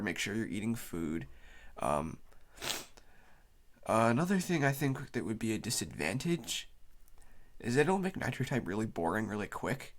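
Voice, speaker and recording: A man with a cold, sounding tired, gives a casual unscripted explainer as a video host, rambling loosely as he goes. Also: fairly low pitch. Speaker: YouTube host.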